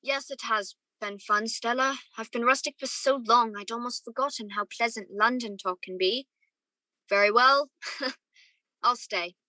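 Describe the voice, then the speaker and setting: Bright-voiced woman as a wistful young gentleman. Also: fairly high pitch, unhurried, dry recording, low-quality recording. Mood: genial, flustered. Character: conflicted young gentleman in love, wistful narrator. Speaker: student or guest actor in a radio play. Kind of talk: radio play acting with first-person narration.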